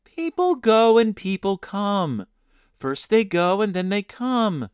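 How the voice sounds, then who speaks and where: A man voicing a sly, superior village girl who talks in slow, measured riddles in a storybook reading. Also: sits high, animated, clean tone, no echo, studio quality, voice pushed up. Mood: aloof, teasing. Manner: slow, measured, mock-wise.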